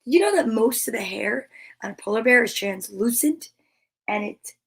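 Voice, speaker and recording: A woman's voice: on the high side, lively intonation, moderate pace, creaky, a bit bright, husky. A woman hosting a live children's story time, reading aloud and chatting to viewers with playful, encouraging exclamations. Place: at home.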